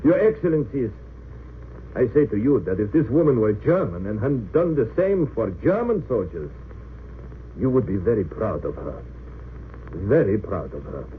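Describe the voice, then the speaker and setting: An actor in an old-time radio drama playing an earnest, deferential Romanian defense lawyer who argues formally and pleads with feeling. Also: male voice, on the low side, very animated, moderate pace. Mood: impassioned, pleading. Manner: hesitant yet impassioned, formal oratory. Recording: tinny narrow-band old radio recording, hissy.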